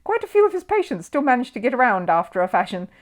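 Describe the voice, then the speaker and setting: A woman voicing a brisk, distinguished male surgeon in a children's storybook, gruff and clipped, with dry humour. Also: a little high, big pitch swings, voice pushed up. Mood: cheerful, mischievous. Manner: brisk, clipped, dryly humorous.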